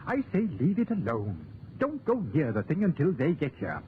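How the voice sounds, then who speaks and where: Fussy reverend, tinny old radio. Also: male voice, fairly high pitch, wildly swooping pitch, normal speed. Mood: anxious, urging. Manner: hesitant, proper, remonstrating. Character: prim, fussy, anxious English clergyman. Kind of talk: 1940s radio drama acting. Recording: tinny, lightly noisy 1940s radio recording.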